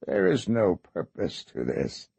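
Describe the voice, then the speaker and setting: A male narrator voicing a shaky old man in an audiobook, weary yet quietly powerful. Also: sits low, very animated, husky, no room sound, exaggerated voice. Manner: slow, weary, then commanding.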